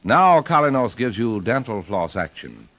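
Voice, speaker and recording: A male American announcer delivers scripted copy in vintage 1940s radio fashion. He is polished and emphatic, with lively intonation. The recording sounds dull and aged. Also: medium pitch, normal speed. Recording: muffled old radio recording.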